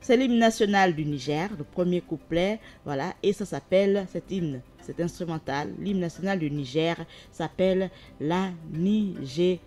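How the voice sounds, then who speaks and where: A woman hosting a radio program, presenting segments live in a relaxed, guiding way. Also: lowish voice, measured pace, hardly any pauses, faint music behind. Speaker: radio show host.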